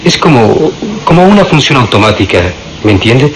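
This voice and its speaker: A hesitant hospital orderly played by a man in a radio drama. His voice is medium in pitch, polite and deferential, unsure of himself, with faint noise on the recording. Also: big pitch swings, moderate pace, natural voice, rough recording. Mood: hesitant, apologetic.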